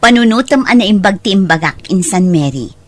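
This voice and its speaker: A woman's voice: mid-range voice, lively intonation, brisk, natural voice. A woman playing a well-off, generous and assertive aunt in an audio drama, speaking persuasively with an Ilocano accent.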